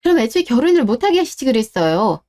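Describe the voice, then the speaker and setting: A woman's voice: fairly high pitch, big pitch swings, fast talker, darkish tone. A woman playing a caring but indignant young wife in a scripted radio drama, polite yet firm.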